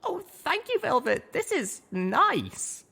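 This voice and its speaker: A girlish young pony mare, acted out by a male narrator, pitched well up. She is an earnest, firm-minded storybook heroine with a lively delivery. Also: slow pace, vocal fry, heavily acted. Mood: pleased. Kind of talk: audiobook character acting.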